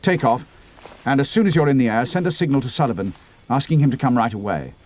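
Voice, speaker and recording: A man's voice: medium pitch, animated, quick pace, a little mellow, close to an everyday voice. A man voicing a grave, decisive young air adventurer in a storybook reading, with a terse, commanding manner. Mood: grave, businesslike. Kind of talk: dramatic audiobook character reading.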